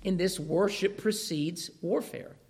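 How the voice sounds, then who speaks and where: A male preacher with a fairly high voice delivers a sermon, taking his time over each phrase. His voice is wearing out, and he keeps posing rhetorical questions to the congregation. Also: a little slow, darkish tone. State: losing his voice.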